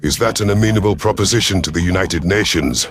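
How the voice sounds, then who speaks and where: A man's voice: deep voice, fast talker, soft background music, natural voice. A man voicing a male alien predator fleet commander, formal and calculating, in a sci-fi audio story. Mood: formal, bargaining. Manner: formal, imperious. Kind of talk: first-person sci-fi audio story narration.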